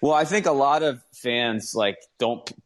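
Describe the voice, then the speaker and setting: A man's voice: medium pitch, moderate pace, slight background noise. A man co-hosting a sports show in casual, joking banter.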